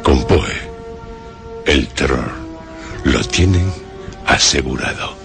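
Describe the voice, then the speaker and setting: A man with an accent from Spain, narrating scripted horror radio as a host, dramatic and suspenseful, with deliberate long pauses. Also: a bass voice, animated, creaky. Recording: music bed under the voice. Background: music.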